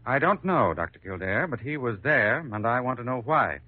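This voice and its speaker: An actor with an American accent playing a brisk, skeptical insurance investigator in an old-time radio drama, clipped and businesslike. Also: male voice, medium pitch, lively intonation, natural voice. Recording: muffled vintage radio recording.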